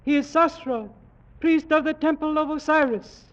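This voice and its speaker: Male actor as ancient palace herald, high-pitched level proclamation, formal vintage radio drama, dull sound. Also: moderate pace, close to an everyday voice. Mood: ceremonious. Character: ceremonious ancient Egyptian herald chanting announcements. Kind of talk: 1940s radio drama acting. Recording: muffled old radio with loud music.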